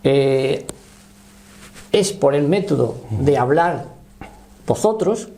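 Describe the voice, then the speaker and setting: A man in his sixties with a Spanish accent explains and recounts his experience in a halting, conversational way, often checking he is understood. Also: fairly high pitch, animated, warm tone. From Spain. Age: 60s. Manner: halting, often seeking confirmation. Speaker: interview guest, paranormal voice researcher.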